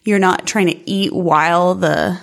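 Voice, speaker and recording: A woman co-hosting a casual chat, telling stories with a loud, carrying voice and frequent laughter. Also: on the low side, measured pace, creaky. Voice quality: loud voice that carries. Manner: animated storyteller who laughs often. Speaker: podcast co-host.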